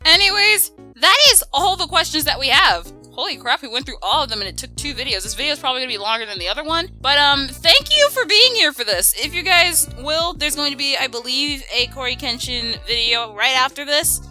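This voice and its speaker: A young woman with a very bright, forward voice pitched a bit high. She hosts casual question-and-answer banter with playful, dramatic reactions, and music plays underneath. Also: animated, fairly quick, hardly any pauses. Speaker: video host reading and answering fan questions. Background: background music.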